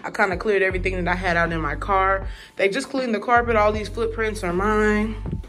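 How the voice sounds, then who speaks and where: A woman's voice: mid-range voice, steady tone, fairly quick, fairly bright tone. A woman vlogger giving a casual, chatty tour of her new apartment, talking straight to her viewers with informal slang while filming on a phone. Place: empty apartment indoors. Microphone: phone camera held while walking.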